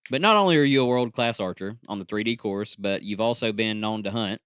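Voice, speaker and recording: A man's voice: fairly low pitch, brisk, a bit bright, clear, resonant tone. A man hosting an interview, asking questions in an easygoing way with self-deprecating jokes. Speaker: host.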